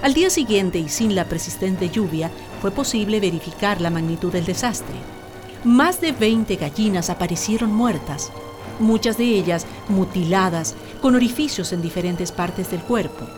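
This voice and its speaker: A woman's voice: medium pitch, expressive, normal speed, music in the background, natural voice. A woman reading scripted radio drama narration in a solemn, literary manner.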